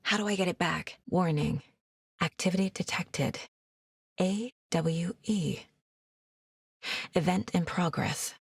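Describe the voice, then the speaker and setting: An actress playing a determined, wry federal agent investigating supernatural crimes in a video game, measured and matter-of-fact. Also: female voice, on the low side, vocal fry, husky, no echo, clean studio sound, heavily acted. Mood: investigative. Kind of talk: scripted game dialogue, investigative monologue.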